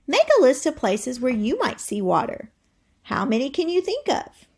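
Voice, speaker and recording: A woman's voice: high-pitched, very animated, moderate pace, breathy edge. A woman reading educational material aloud as a teacher, in an instructive manner that addresses the listener directly. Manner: instructive, asks the listener questions. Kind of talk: educational read-aloud with explanatory asides.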